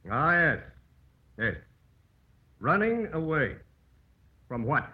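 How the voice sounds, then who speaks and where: An actor playing a sardonic, badgering prosecuting attorney with pointed, insinuating emphasis and an American accent, in theatrical radio courtroom style. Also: male voice, on the high side, big pitch swings, takes it slowly. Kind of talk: theatrical old-time radio courtroom drama. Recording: old, narrow tinny radio broadcast.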